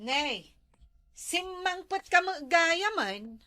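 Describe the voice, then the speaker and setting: A woman's voice: very high-pitched, animated, extremely slow, sharp tone, no room sound, clean studio sound, exaggerated voice. A woman acting a fed-up, resolute wife and mother in a comedy drama, firm and scolding.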